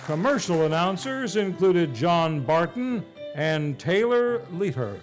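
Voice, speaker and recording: A man's voice: high voice, big pitch swings, slow pace. A man working as announcer, reading scripted closing credits for a live stage radio show. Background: faint music.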